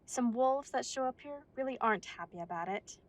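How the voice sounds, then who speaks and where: A woman's voice: on the high side, expressive, brisk, lightly acted. A woman playing a polite, businesslike female werewolf receptionist at a council chamber.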